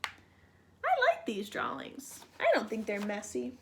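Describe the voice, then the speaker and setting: A woman's voice: medium pitch, wildly swooping pitch, takes it slowly, a little hiss or hum. A woman talking to young children as she introduces and reads a picture book, in simple, question-led, child-directed speech. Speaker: children's read-aloud teacher.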